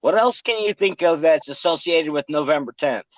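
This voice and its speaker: A man's voice: fairly high pitch, lively intonation, normal speed, no room sound, highs cut off. A man in his forties chatting casually and laughing, often restarting his sentences. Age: 40s. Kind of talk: casual chat.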